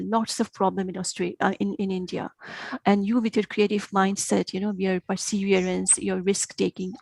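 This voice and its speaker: A woman from Bangladesh speaks with a gravelly, fry-tinged voice at a middling pitch, earnest and encouraging as she closes a guest lecture. She halts and restarts often. The sound is dry and close over a video call. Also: darkish tone, no echo, low-quality recording. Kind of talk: closing remarks of an online lecture.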